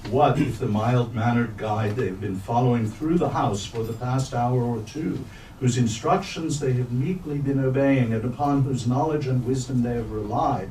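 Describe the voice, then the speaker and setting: A man reading literary prose aloud at a bookstore event in measured, deliberate phrases. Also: medium pitch, warm tone. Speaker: reader at a staged literary reading. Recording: roomy, distant mic, faint noise.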